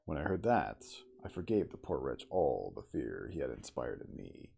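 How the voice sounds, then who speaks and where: A man reading an adventure story aloud in a deliberate, dramatic ASMR style. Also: rumbling low, very animated, vocal fry, dark tone, slight background noise. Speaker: audiobook reader.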